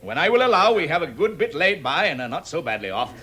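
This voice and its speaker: An actor playing a proud, grave dwarf leader, grand and declamatory in a vintage radio drama. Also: male voice, on the high side, sing-song, fast, bright, forceful tone. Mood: grave, dramatic. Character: proud, grave dwarf leader telling his lost history. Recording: vintage 1960s radio recording.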